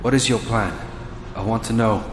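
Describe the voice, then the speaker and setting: A man's voice: on the low side, normal speed, close to an everyday voice. A man playing an earnest, insistent fantasy hero in a video game, speaking plainly and directly. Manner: plain, direct, pressing questions. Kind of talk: dramatic video game acting. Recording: echoey hall reverb, clear background noise.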